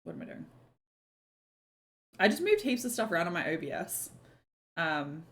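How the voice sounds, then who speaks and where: A young woman chats easily to her stream, her slightly croaky voice sitting a little low. She talks in loose, rambling runs full of filler words and the odd swear. Her pitch swings widely as she goes. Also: big pitch swings, normal speed, fairly bright tone. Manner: chatty, lots of "like" and "um", swears casually. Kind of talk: casual chat on a live stream.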